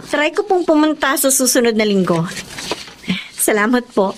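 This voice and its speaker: High-voiced, hardworking young big sister. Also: female voice, expressive, normal speed, background noise. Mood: polite, restrained. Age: young adult. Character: hardworking, self-sacrificing young breadwinner, an older sister. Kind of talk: Tagalog radio drama acting.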